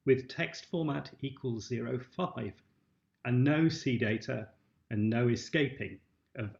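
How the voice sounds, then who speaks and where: A man with a medium-pitched voice explains material as a webinar presenter. His delivery is prepared and instructional, heard through a dull recording in an echoey room. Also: gravelly, a little mellow. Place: indoor room. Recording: dull, roomy webcam-style recording.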